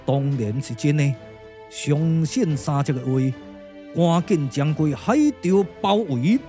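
A man voicing a calm, confident elder general with a Taiwanese accent, in measured, formal puppet-drama declamation. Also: fairly high pitch, faint music behind, natural voice. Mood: commanding, reassuring. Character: calm, confident strategist general Sun Bin, an elder uncle.

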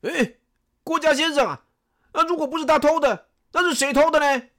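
A woman's voice: high-pitched, brisk, a bit bright. A woman voicing a hot-tempered male army general who blusters and accuses. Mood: demanding.

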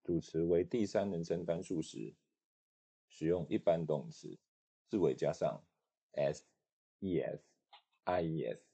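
A man narrating a language lesson, calmly explaining rules and translating example sentences. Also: deep voice, measured pace, gravelly, long pauses, slightly rough. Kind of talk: instructional narration.

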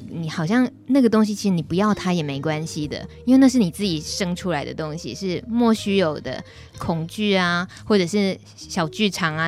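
A woman's voice: lowish voice, darkish tone. A woman with a Taiwanese Mandarin accent hosting a radio interview in a conversational style. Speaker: radio show host.

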